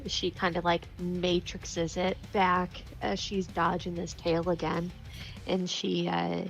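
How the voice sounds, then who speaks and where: A woman's voice: on the low side, completely flat delivery, slow pace, vocal fry, faint music behind, rough recording. A woman playing a tabletop game, casually and informally describing her character's moves.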